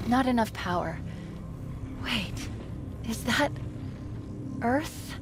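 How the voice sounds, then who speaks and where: A woman's voice: on the high side, lively intonation, drawn-out words, background noise, full character voice. An actress voicing an earnest, anxious female AI companion in a video game, with a wondering, hesitant way of questioning. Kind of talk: dramatic video game dialogue.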